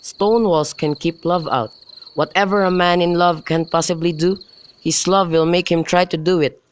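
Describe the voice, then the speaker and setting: A man's voice: high voice, steady tone, moderate pace, lightly acted. Man as reckless, lovestruck young nobleman, amateur radio Shakespeare, slightly noisy homemade recording with night insects. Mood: ardent. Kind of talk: student radio drama acting of modernized Shakespeare. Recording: noisy home recording, crickets behind.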